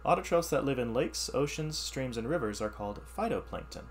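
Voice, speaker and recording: Guy reading a science book out loud for class, lively up and down voice, stops to explain stuff, faint hum behind. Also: mid-range voice, a little fast. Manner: reads text then explains in plain words. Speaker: teacher reading a science ebook aloud. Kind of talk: read-aloud lesson with asides.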